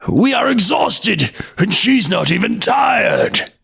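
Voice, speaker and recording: A man voicing a furious, menacing whirlwind spirit in a storybook tale, with shouted, drawn-out words. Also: high voice, very animated, creaky, slightly rough, voice pushed up, full character voice. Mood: exhausted, indignant.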